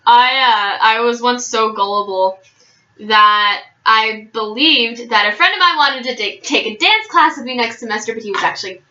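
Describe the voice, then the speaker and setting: A woman's voice: a little high, moderate pace, bright, forceful tone. A young woman chatting casually with friends, talkative and a little hesitant. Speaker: channel co-host. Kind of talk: casual chat game with friends. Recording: dull roomy sound with faint hiss.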